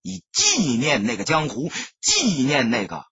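A male audiobook narrator with a strongly rasping voice, pitched fairly high. He tells the story dramatically, with big swings in intonation. The sound is very dry and close. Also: wildly swooping pitch, a little slow, bright, forceful tone, dry recording, dull audio.

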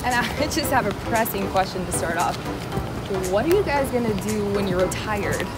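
A woman's voice: medium pitch, animated, moderate pace. A young woman working as a team reporter, casually interviewing people while walking outdoors on a campus. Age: young adult. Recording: music bed over outdoor campus recording.

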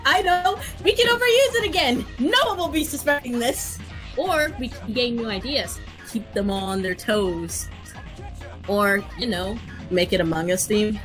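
A woman's voice: a little high, normal speed, music in the background, close to an everyday voice. A woman voicing an intense, indignant crewmate leader in a dramatic amateur comic dub. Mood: urgent, indignant.

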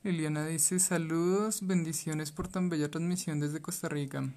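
A woman's voice: low-pitched, steady tone, normal speed, dark tone. A woman off camera reading viewer questions aloud during a live class.

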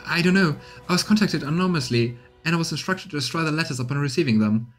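A man's voice: on the high side, fast, fairly bright tone, soft background music, voice pushed up, close to an everyday voice. A man voicing a panicked, defiant captured assassin in a fantasy game, stammering and pleading. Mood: nervous. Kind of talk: voiced fantasy game dialogue.

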